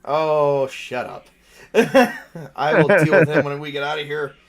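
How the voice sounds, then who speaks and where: A man with a fairly high-set voice runs a tabletop roleplaying game. He narrates off the cuff in an informal, sweary style, switching between rules and story. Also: takes it slowly, a crowd in the background. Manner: profane, informal. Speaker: game master and player.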